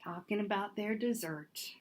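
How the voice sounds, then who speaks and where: A woman reading a children's picture book aloud in expressive, deliberate phrases, with asides about the pictures. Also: medium pitch, slight background noise. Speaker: storybook reader.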